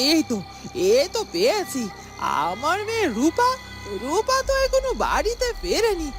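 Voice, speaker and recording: A woman voicing the sly, scheming wife of a village headman in a cartoon, theatrical and wheedling. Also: high-pitched. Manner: theatrical, wheedling, self-questioning. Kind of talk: dramatic cartoon voice acting. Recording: music behind the voice.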